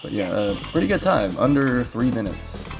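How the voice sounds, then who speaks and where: A man's voice: mid-range voice, sing-song, moderate pace, vocal fry. A man giving casual, rambling commentary as he plays through a video game, thinking aloud. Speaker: speedrun player and commentator. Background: video game music.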